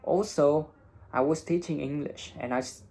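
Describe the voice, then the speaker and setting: A man's voice: on the high side, moderate pace, dark tone. A young man who talks bluntly and swears casually while giving exam advice in a vlog. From Vietnam. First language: Vietnamese. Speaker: IELTS teacher and vlogger.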